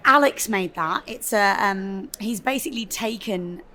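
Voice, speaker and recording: A woman's voice: medium pitch, measured pace, sharp tone. Young woman, theatre performer, slight husk, loose chatty interview delivery. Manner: chatty, lots of fillers, laughs easily. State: slightly husky. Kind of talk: casual interview chat. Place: festival venue interview.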